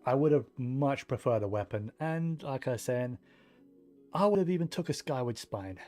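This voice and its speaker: A man's voice: mid-range voice, lively intonation, moderate pace, warm tone, soft background music. A man giving casual gameplay commentary as a streamer, rambling and thinking aloud in an understated way. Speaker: game streamer.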